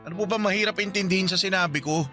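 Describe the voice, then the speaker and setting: A man's voice: sits high, racing, sharp tone, soft background music. A man playing a devoted young adult son in a Filipino radio drama, speaking politely to his mother. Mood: defensive, irritated.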